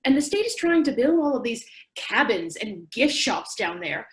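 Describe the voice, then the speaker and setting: An actress playing an earnest, defensive zoo worker in a staged play reading, explaining herself carefully. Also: female voice, on the high side, very animated, normal speed. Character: earnest, defensive woman zoo employee backing her partner. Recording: echoey room.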